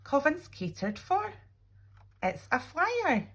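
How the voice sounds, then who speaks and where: A woman reading a children's storybook aloud expressively, with dramatic pauses and little asides to young listeners. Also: high voice, sing-song, a bit bright. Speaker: teacher reading a picture book aloud.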